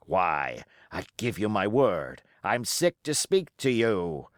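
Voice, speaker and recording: A man acts out a storybook pirate chief, scornful and cunning. His low voice rises and falls dramatically as he taunts his crew with pointed questions. The delivery is deliberate and emphatic. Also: big pitch swings, no echo, studio quality, exaggerated voice. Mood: contemptuous. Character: Long John Silver, scornful cunning male pirate ringleader.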